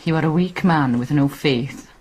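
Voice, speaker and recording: A woman plays an eerie Welsh village outcast with a low voice. She is provocative and intense, speaking as in an intimate radio play. A faint noise sits behind her. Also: moderate pace, a little mellow, lightly acted, poor audio. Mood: teasing. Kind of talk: intimate radio drama dialogue.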